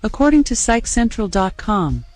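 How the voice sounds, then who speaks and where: Female voice assistant, synthetic, medium pitch, faint music behind. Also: sing-song, normal speed, a little mellow, no echo. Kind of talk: scripted assistant replies.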